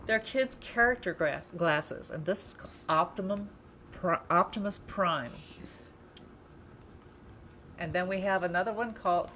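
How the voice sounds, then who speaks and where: Lowish-voiced woman presenting products. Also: a little slow, pauses often, noisy background. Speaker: store presenter doing commercial segments. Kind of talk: informal live product presentation.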